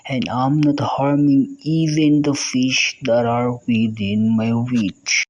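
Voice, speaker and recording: An actor playing a sly crane in a puppet show, speaking in a solemn, deliberate way. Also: mid-range voice, steady tone, a little mellow, highs cut off. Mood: mournful, pious. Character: sly old crane feigning guilt and sorrow, scheming killer. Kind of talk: puppet show storytelling dialogue.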